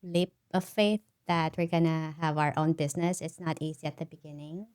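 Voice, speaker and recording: A middle-aged woman from the Philippines, a native Tagalog speaker, tells her life story in relaxed conversation. Her voice is smooth and somewhat low, with frequent laughs and tag questions. The recording is close and dry. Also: moderate pace, dry recording. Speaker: podcast guest. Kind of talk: casual interview storytelling.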